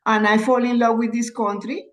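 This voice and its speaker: A woman's voice: a little high, flat delivery, normal speed. A woman from Colombia, speaking on a video call as a real estate agent. She talks hesitantly, repeats words and uses phrasing. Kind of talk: casual video call discussion.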